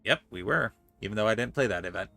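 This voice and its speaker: A man's voice: on the low side, lively intonation, quick pace, gravelly, sharp tone, faint music behind. A man livestreaming a game, reading story dialogue aloud articulately and adding playful comments. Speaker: game streamer.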